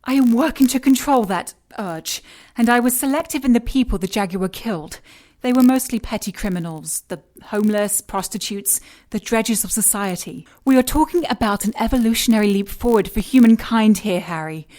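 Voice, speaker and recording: A woman's voice: a little high, expressive, moderate pace, a little mellow, lightly acted. A woman acting a cold, condescending scientist villain. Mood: self-justifying. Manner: composed, clinical, condescending. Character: cold, obsessed female scientist and jaguar shapeshifter villain. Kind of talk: audio drama dialogue.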